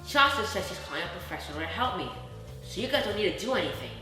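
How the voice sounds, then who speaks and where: An anxious young storybook boy, acted by a woman, reluctant and full of doubt. The voice is bright and lively in pitch, heard in a very echoey room with music underneath. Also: fast talker, distant mic.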